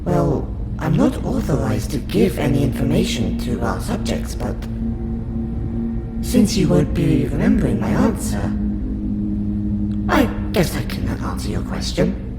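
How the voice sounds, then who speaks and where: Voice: mid-range voice, very animated, unhurried. A performer with a woman's voice playing a courteous, curious alien scientist in an audio roleplay, questioning a human in formal, clinical wording. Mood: courteous, businesslike. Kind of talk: audio roleplay monologue to the listener. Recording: roomy echo under loud music.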